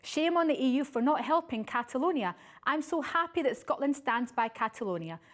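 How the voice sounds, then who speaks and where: A woman presenter with a high-pitched voice that moves up and down a great deal. She reads scripted links and introductions as the host of a talk show. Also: expressive, fairly quick. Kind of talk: scripted presenting to camera, reading introductions and messages.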